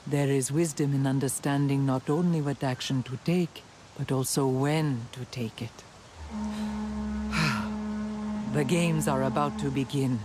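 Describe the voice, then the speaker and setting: An actor playing a calm, wise alien clan elder in a video game, measured and counselling. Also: medium pitch, over music, lightly acted. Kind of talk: video game dialogue acting.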